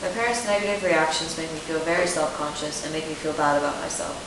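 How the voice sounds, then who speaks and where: A young woman plays a self-conscious teenage client in a role-played therapy session, her voice low. She reads her answers steadily, as if from a script. The sound is roomy and distant, with a constant hiss behind it. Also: quick pace, lightly acted. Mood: earnest. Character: self-conscious eighteen-year-old woman client who stutters. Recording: echoey room, distant mic, steady hiss.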